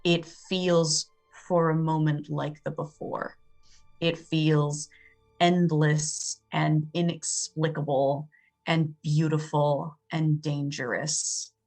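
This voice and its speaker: Low-voiced woman in slow, poetic narration. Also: flat delivery, darkish tone, faint music behind. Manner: measured, dramatic. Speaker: tabletop game player.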